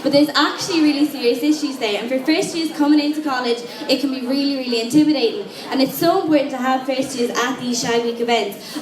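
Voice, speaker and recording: Young Irish woman, student candidate, frank casual delivery; high voice, roomy echo with crowd around. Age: young adult. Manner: casual, frank, quick with asides. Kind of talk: campaign speech. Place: lecture room with an audience. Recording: echoey room with crowd noise.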